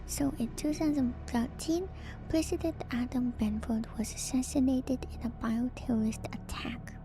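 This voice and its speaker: A woman streamer whispering softly and breathily in ASMR style, reading aloud and musing in casual asides close to the microphone. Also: high voice, low-quality recording. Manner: slow, musing, conversational asides. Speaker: streamer hosting a lore read-through. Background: music.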